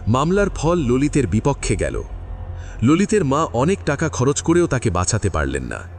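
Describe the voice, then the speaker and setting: A man narrating an audio drama in scripted, expressive storytelling. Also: mid-range voice, normal speed, soft background music.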